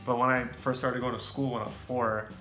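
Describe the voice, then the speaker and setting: A young American man chatting casually as co-host, relaxed and rambling with fillers. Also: mid-range voice, brisk. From United States. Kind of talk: casual chat. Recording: echoey room with faint music.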